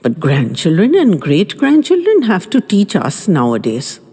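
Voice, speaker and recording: A woman tells a first-person story in a gentle, reminiscing voice that is low, warm and slightly husky. Her pitch rises and falls with lively expression, and a long reverb trails behind her words. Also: unhurried. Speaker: narrator. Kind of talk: scripted storytelling narration.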